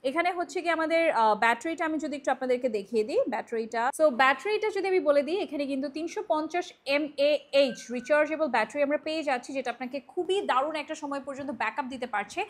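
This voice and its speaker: A woman with a Bangladeshi accent giving a casual, chatty product pitch as a shop presenter, mixing in English words. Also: quick pace, few pauses.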